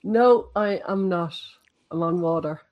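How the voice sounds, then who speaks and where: A woman's voice: on the low side, a little slow, no echo, muffled recording. A woman with an Irish accent, chatting casually as a guest and laughing easily. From Ireland. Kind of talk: casual chat.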